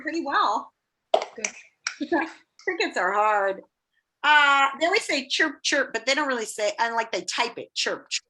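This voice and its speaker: A woman's voice: sits high, expressive, unhurried, long pauses. A woman teacher casually leading a class game over a video call, playfully prompting and encouraging children. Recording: noticeable background noise on video call.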